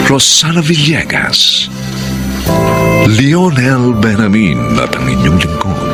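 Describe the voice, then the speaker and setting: A male radio drama narrator and director reading a closing moral and the credits in a solemn, declamatory manner. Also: on the low side. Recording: old radio broadcast over loud music.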